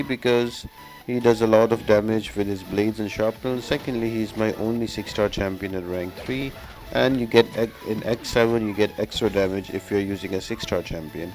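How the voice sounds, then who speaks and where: A man's voice: on the low side, a little mellow, low-quality recording. A man giving instructional walkthrough commentary on mobile game fights, explaining each step as a guide. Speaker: gaming video creator giving guides. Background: music.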